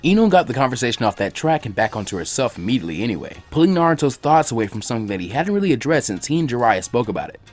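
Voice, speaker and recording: A man reading fan fiction aloud, quick and lively, putting on voices for the characters. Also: medium pitch, faint music behind. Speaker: fanfiction reader.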